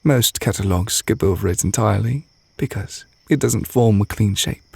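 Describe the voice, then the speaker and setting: A man acting a gentle, adoring witch boyfriend in an intimate ASMR roleplay, soft-spoken and tender with a light teasing manner. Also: lowish voice, normal speed, vocal fry, slightly rough, no echo. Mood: gentle, reflective.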